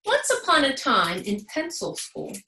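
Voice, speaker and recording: A woman with an American accent reads a children's storybook aloud. Her voice is bright and a little high, and she tells the story with animated emphasis. The room adds a clear echo around her. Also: measured pace, slight background noise. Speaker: school principal reading aloud to children. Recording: roomy, echoing sound.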